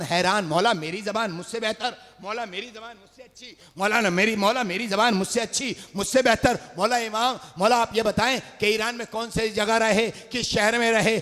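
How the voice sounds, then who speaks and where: High-voiced man preaching in a reverberant hall. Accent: Urdu. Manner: rises to shouts and sung phrases, calls on the audience. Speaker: majlis orator. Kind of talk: Urdu majlis sermon with chanted delivery. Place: large religious gathering hall.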